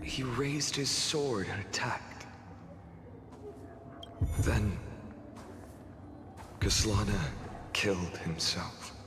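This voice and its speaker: A man playing a grieving, wrathful young hero in a video game, speaking in a solemn, deliberate, dramatic way. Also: lowish voice, lively intonation. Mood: somber, resigned. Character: grieving, wrathful young male hero recounting endless doomed cycles. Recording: long reverb, clear music behind. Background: game music.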